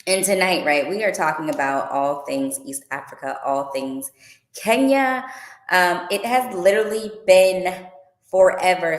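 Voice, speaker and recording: A low-pitched woman talks to her viewers in a chatty, upbeat way, full of fillers. Her voice rings in an echoey room, picked up by a livestream mic. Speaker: tour organizer and boutique owner. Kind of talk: casual livestream Q and A.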